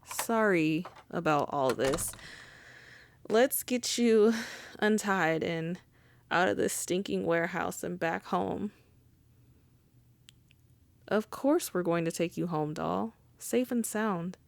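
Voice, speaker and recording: Creaky, low-voiced female mob boss, acted by a woman. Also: takes it slowly, pauses often, no room sound. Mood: apologetic, reassuring. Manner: wry, conversational, swearing, teasing endearments. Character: wry, charming, apologetic female mafia boss, seasoned criminal. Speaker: audio roleplay voice actor. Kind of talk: intimate ASMR roleplay acting.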